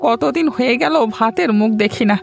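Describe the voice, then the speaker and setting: A woman voicing a weary, despairing poor old farmer in a cartoon, with a creaky, plaintive delivery. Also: fairly high pitch, fairly quick. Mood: lamenting. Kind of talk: cartoon voice acting. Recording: music behind the voice.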